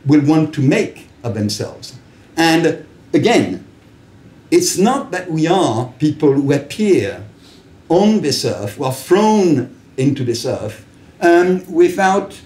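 An older man from Paris with a French accent, a native French speaker giving a live talk in an emphatic, deliberate, rhetorical way with pauses. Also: a little high, a little mellow. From Paris, France. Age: older, about 70s. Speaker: public speaker, lecturer. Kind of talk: live political talk to a small audience. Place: indoors, small wallpapered room with an open view to a window. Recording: roomy echo from camera distance.

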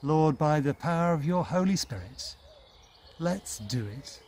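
Man, 50s, church reader, slightly high voice, measured and slow, garden setting with ambient noise. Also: expressive, darkish tone. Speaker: lay reader leading an online church service. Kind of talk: welcome, notices and spoken prayer to camera. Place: outdoors in a leafy garden.

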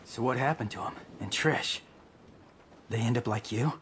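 A man's voice: mid-range voice, moderate pace, lightly acted. A man playing a determined young fighter in a video game, casual, curt and guarded. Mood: grim, alert. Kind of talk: video game cutscene acting. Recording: loud music underneath.

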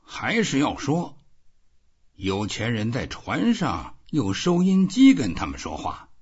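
A man's voice: mid-range voice, wildly swooping pitch, slow, a little mellow, slightly rough, muffled recording, voice pushed up, full character voice. A man voicing a lonely old fisherman who murmurs to himself in an audiobook. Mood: musing, defiant.

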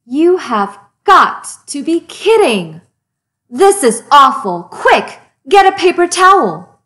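A woman voicing an excitable, easily exasperated little boy from a picture book, in a dramatic, exclamatory manner. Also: high-pitched, slow pace, heavily acted. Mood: panicked.

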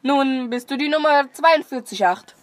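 A woman's voice: high voice, moderate pace, lightly acted. A woman playing a cryptic, solemn female secret agent in a children's radio play. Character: mysterious female secret agent recruiting her successor.

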